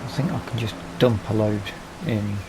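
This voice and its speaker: A man giving casual let's play commentary, thinking aloud to himself in a gravelly voice. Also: lowish voice, steady tone, moderate pace. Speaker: gaming video host. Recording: noisy, rain and vehicle sounds. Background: farming game rain and vehicle noise.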